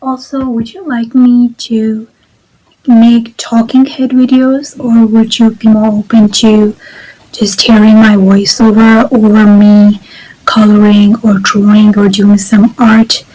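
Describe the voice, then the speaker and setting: A woman's voice: a little high, little pitch movement, slow pace, mellow, rough recording. A young woman whose first language is not English, talking casually to camera in a rambling, hesitant way with many fillers. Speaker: vlogger. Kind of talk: casual personal vlog.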